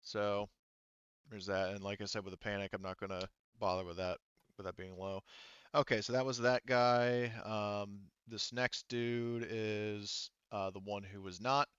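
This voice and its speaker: A man with a fairly low, bright voice runs a tabletop roleplaying session as game master. He talks informally and works things out aloud, in a very dry recording. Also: steady tone, unhurried, clean tone, no echo, dull audio. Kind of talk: running a tabletop roleplaying game, casual and improvised.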